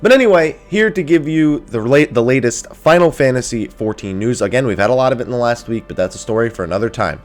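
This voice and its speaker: A man's voice: medium pitch, lively intonation, fast talker, hardly any pauses, soft background music. A man hosting a casual gaming news update, sounding nasal and stuffy from just waking up, chatty with self-amused asides.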